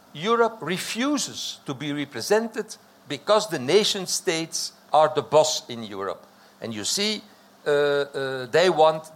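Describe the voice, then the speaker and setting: Emphatic man, slightly high-pitched. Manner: emphatic, declarative, repeats phrases for emphasis. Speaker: panel speaker. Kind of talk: argumentative discussion.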